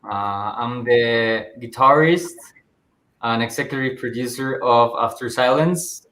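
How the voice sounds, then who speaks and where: A man's voice: mid-range voice, a little slow, fairly bright tone, low-quality recording. A man, guitarist and producer of a band, polite and gracious in a casual interview.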